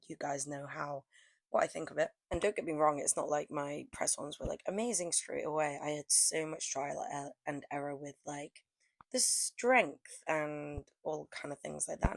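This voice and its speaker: A woman's voice: sits low, no echo. A young woman losing her voice to a cold, rambling casually with lots of fillers as she talks through her nail art. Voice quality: hoarse, croaky. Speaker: press-on nail artist. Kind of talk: casual chatty vlog narration.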